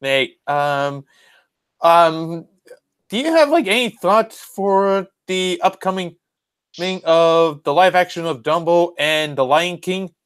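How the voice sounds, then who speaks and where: A podcast host chatting casually in an unscripted interview, halting and hesitant, circling back on phrases. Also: medium pitch, clean tone.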